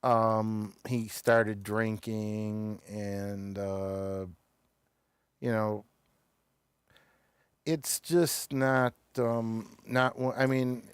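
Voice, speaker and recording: A man hosting a show, chatting casually through reviews in a halting, stop-and-start way. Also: fairly low pitch, level tone, clean tone, dry recording, clean studio sound. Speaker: show host reviewing television episodes.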